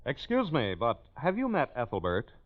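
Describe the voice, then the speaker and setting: A man's voice: medium pitch, huge pitch swings, normal speed, no room sound. A man announcing a network promo in polished, salesmanlike mid-century radio style. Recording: muffled vintage radio, faint music.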